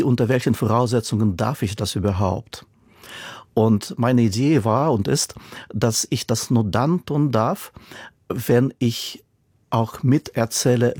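A man's voice: medium pitch, a little mellow, slightly rough, no echo, studio quality. A man whose first language is not German, speaking as an author in a radio interview, thoughtful and given to repeating words for emphasis.

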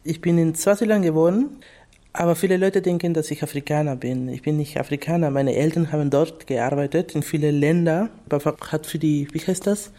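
Woman with a mellow voice. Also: deep voice, steady tone. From Peru. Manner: halting, non-native grammar, playful. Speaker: musician, interviewee. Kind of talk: casual explanation.

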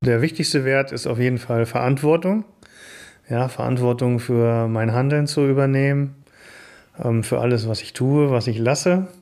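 Man in his 50s, slow deliberate statement, earnest, talks about his values. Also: mid-range voice, darkish tone. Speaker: interviewee.

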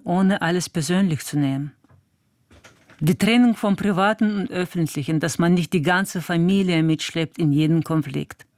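Articulate female interviewee, measured prepared delivery, dry studio-like sound. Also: lowish voice, dry recording.

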